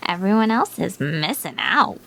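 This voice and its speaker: A woman's voice: mid-range voice, very animated, moderate pace, gravelly, fairly bright tone, full character voice. A woman playing an amused, gossipy young woman who chats to herself in an audio drama. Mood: gleeful, musing. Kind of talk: audio drama character acting.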